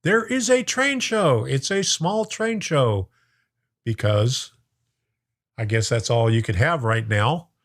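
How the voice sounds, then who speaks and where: A man's voice: medium pitch, expressive, slow pace. A middle-aged man hosting a model-train live stream, chatting casually and reading viewer comments. His voice is getting worn out, and he chuckles often and greets viewers by name. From lives in Florida.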